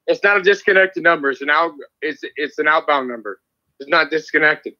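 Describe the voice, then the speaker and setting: A man's voice: on the high side, fairly quick, bright, forceful tone, highs cut off. A man arguing combatively, swearing and repeating his claims insistently. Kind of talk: heated online argument.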